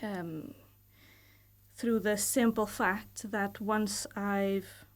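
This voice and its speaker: A woman in her thirties speaks with a Portuguese accent, a mid-pitched voice as a guest on a studio podcast. She talks about her work in a thoughtful, measured way. She often pauses and hedges with fillers as she gathers her thoughts. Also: fairly even intonation. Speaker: guest, university lecturer. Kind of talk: casual podcast interview.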